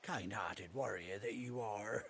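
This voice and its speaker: An actor playing a chatty, kind-hearted rustic trader in a video game, with a British accent and a folksy, hearty, colloquial way of talking. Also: male voice, on the low side, slow pace, a bit bright, slightly rough, full character voice. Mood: curious, jovial. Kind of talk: video game cutscene acting. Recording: game music behind the voice.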